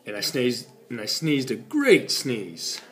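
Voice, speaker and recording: A man's voice: medium pitch, huge pitch swings, slow. A man voicing a smug, chatty wolf who protests his innocence in a conversational storybook telling. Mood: matter-of-fact, sly. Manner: conversational, self-justifying, mock-innocent. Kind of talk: animated storybook read-aloud.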